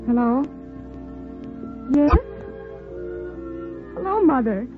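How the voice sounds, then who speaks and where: A woman's voice: sits high, expressive, very slow, heavily acted. Earnest, bewildered American woman, muffled old radio. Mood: tender, surprised. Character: apologetic, bewildered missing young woman. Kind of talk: 1940s radio drama acting. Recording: muffled old radio recording, faint music.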